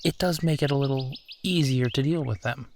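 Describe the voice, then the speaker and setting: A man's voice: mid-range voice, a little mellow, slight background noise, lightly acted. A man playing a supportive, affectionate husband in an intimate comfort roleplay, talking in a reassuring, conversational way. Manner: reassuring, conversational, unhurried pauses. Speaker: audio roleplay performer.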